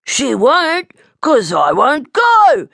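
A woman's voice: a little high, very animated, measured pace, a bit bright, dry recording, clean studio sound, voice pushed up, exaggerated voice. A woman voicing a bold, naughty, boastful little boy koala bear in a children's storybook. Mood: defiant. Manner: cocky, defiant, scornful.

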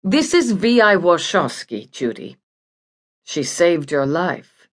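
A woman's voice: lowish voice, big pitch swings, takes it slowly, heavily acted. Woman narrator as female surgeon, firm, unyielding, no-nonsense, moving intonation, audiobook dialogue.

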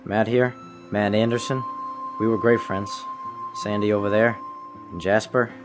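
A man's voice: on the low side, steady tone, normal speed, close to an everyday voice. An actor playing a sincere, reflective, melancholy young man in a western audio drama. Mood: wistful. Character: earnest, melancholy young man of the Old West. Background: music.

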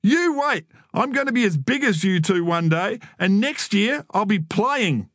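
A man voicing an angry, defiant young boy in an audiobook story, indignant and shouting. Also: high-pitched, animated, measured pace, no room sound, clean studio sound, voice pushed up, exaggerated voice. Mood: hurt, defiant.

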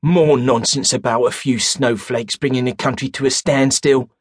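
A man's voice: medium pitch, fairly even intonation, moderate pace, husky, dry recording, clean studio sound, lightly acted. A male narrator voicing a grumpy elderly male pub regular, grumbling and scornful, with a wheezy edge.

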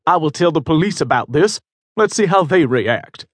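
A man's voice: on the high side, lively intonation, normal speed, no echo, clean studio sound, pitched above the performer's own voice. A man reading aloud and voicing a bitter, threatening grieving father. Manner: menacing, scornful.

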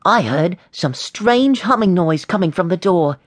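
A man's voice: sits high, expressive, unhurried, dry recording, pitched above the performer's own voice. A man voicing a curious, stubborn eleven-year-old boy in a storybook, with an eager, insistent manner. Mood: intrigued.

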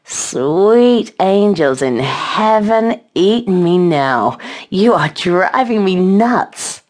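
A woman's voice: lowish voice, expressive, darkish tone, pitched above the performer's own voice. A woman voicing an impatient, aroused woman in an audiobook, gasping and moaning as she pleads. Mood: frantic. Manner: gasping, moaning, drawn-out words.

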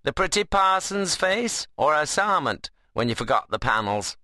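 A man's voice: fairly high pitch, expressive, normal speed, sharp tone, no room sound, pitched above the performer's own voice. A man voicing a wiry, sarcastic young workman in an audiobook novel, mocking and needling in a dialect. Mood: teasing, sarcastic.

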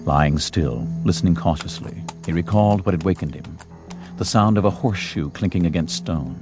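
A man narrating a scripted western story in a measured, dramatic storytelling manner. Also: deep voice. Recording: music under the narration. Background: music.

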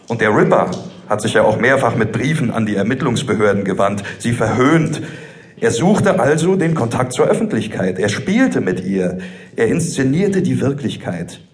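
A man playing a know-it-all student hacker in his early twenties, hesitant at first, then explaining emphatically. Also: medium pitch. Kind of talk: audio drama dialogue.

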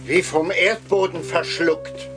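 A man's voice: high voice, steady tone, slow, over music. An actor playing a blunt, reckless cult henchman hunting a fugitive in a radio play. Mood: searching. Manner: blunt, rough talk. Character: gruff male cult henchman, brash and reckless.

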